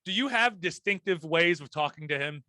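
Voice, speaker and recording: A man's voice: on the high side, expressive, a little fast, sharp tone, dry recording. A man hosting a casual podcast chat, full of crude jokes and frequent laughter. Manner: crude, joking banter, laughing often. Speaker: podcast host.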